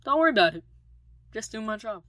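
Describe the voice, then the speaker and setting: A woman's voice: on the high side, sing-song, fast talker, fairly bright tone, no room sound, clean studio sound. A woman playing a wry, loyal and reassuring palace guard in a fantasy audio drama, easygoing and joking.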